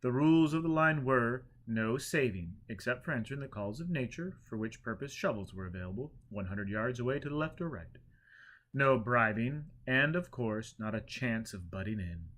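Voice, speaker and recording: A man narrates a written story in an expressive storyteller's manner. His pitch sits in the middle range for a man, and he reads as an audiobook narrator would, shaping each phrase. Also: moderate pace. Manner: expressive, acts out characters' dialogue. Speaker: audiobook reader.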